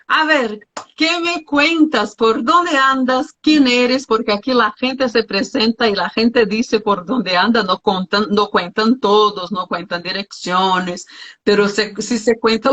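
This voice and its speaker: A woman hosting a casual interview, expressive and exclamatory, thinking aloud with fillers. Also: medium pitch, slow. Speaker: host.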